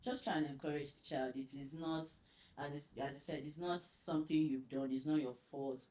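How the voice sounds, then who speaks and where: A woman with a deep voice speaking as a doctor on a panel. Her pitch sits very low for a woman, and she talks in an anecdotal, storytelling way. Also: steady tone. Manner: warm storytelling, quick to laugh. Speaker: pediatric doctor and guest. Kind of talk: panel chat with personal anecdote.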